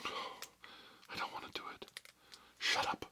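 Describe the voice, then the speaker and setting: A man's voice: slow, close to an everyday voice. A man playing an unhinged, candy-obsessed school principal in a comedic ASMR roleplay, muttering melodramatically to himself and often whispering. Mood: tempted, covetous. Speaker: ASMR performer. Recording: loud crinkling and clicking noise.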